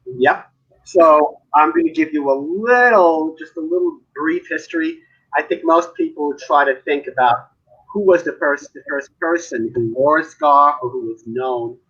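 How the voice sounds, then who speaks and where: A New man chats and tells stories on a live video call, his voice husky and slightly breathy. The audio is a little dull and muffled. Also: fairly high pitch, measured pace, fairly bright tone. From Manhattan. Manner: chatty storyteller, laughs often. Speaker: artist. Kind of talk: casual live-stream chat.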